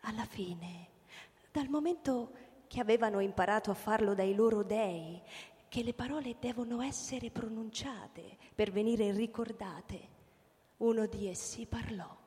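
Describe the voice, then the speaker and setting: A woman's voice: on the high side, a little slow, mellow. A woman narrating a literary radio drama from a script. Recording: long hall reverb.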